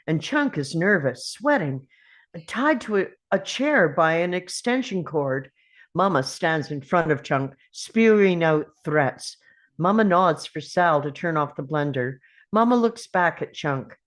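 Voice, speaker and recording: A woman's voice: low-pitched, expressive, unhurried. A woman narrating a screenplay's scene directions at a table read.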